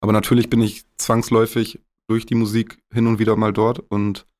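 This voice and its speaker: A man's voice: lowish voice, monotone, brisk, a little mellow. A young man from northwest Germany, a rapper speaking candidly and casually in a podcast interview, with some swearing and English slang.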